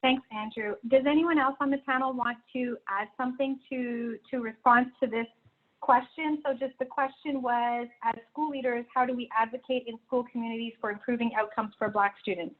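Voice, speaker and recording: A woman moderating a panel discussion, brisk and polite as she passes the floor. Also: fairly high pitch, fairly even intonation. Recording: tinny phone-like line.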